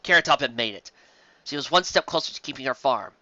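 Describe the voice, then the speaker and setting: A reader reading a pony fan story aloud with expressive storytelling. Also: medium pitch, fast talker, no room sound, dull audio.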